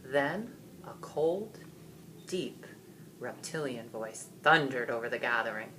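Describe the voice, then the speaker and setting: Low-pitched, slow, dramatic female storyteller. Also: a little hiss or hum, rough recording. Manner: expressive, deliberate, theatrical. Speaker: storyteller reading a children's book aloud. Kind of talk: dramatic scripted narration.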